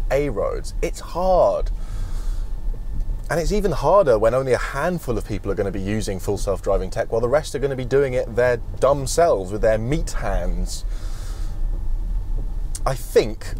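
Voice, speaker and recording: A man's voice: medium pitch, a little mellow, slight background noise. A young man with a British accent presenting a car review to camera. He talks in a chatty, wry, opinionated way while driving, and later stands outdoors at a charger. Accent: British English. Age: young adult.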